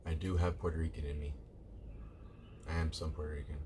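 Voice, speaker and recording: Deep-voiced rambling male streamer. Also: flat delivery, a little fast, background noise. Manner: rambling, repeats himself, says "bro" often. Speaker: live streamer. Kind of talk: casual chat with viewers.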